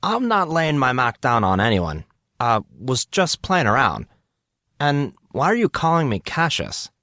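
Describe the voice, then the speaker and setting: A man voicing a flustered, wisecracking teenage boy in an audiobook, speaking in a halting, informal way. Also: medium pitch, wildly swooping pitch, pushed and bright, no echo, clean studio sound, pitched above the performer's own voice, heavily acted. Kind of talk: audiobook character voice.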